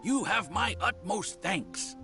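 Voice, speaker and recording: An actor playing an eager, fawning landlord in a video game who gets flustered and laughs nervously. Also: male voice, sits high, expressive, slow pace, over music. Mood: pleased. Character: eager, fawning male landlord, middle-aged.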